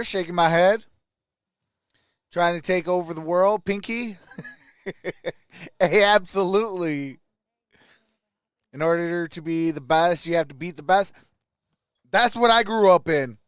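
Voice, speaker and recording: A man's voice: sits high, a little slow, long pauses, a bit bright, smooth, dry recording, clean studio sound. A man hosting a sports livestream, mixing casual play-by-play with chat in an emphatic way.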